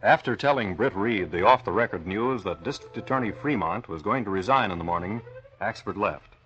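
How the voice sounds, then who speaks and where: A man's voice: lowish voice, animated, fairly quick, a bit bright, faint music behind. A man announcing and narrating in a dramatic, declamatory 1940s radio style. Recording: muffled old radio recording.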